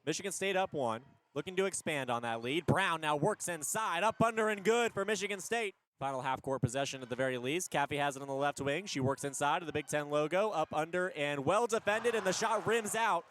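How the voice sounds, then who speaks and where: A man doing energetic live radio basketball play-by-play in punchy short phrases, rising on big plays. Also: fairly high pitch, a little fast. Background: basketball arena ambience.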